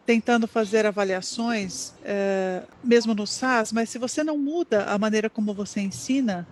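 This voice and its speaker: A simultaneous interpreter renders a lecture live, halting often and restarting words to keep up. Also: medium pitch, a little hiss or hum. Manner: halting, frequent restarts and repeated words while keeping up with the speaker. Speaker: simultaneous interpreter at an education conference talk.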